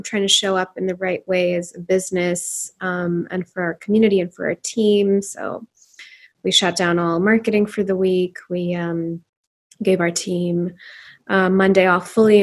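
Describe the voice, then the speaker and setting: A woman in her thirties from Pakistan, a company founder speaking earnestly and thoughtfully as an interview guest. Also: on the low side. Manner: earnest, thoughtful, with frequent ums. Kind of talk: interview conversation.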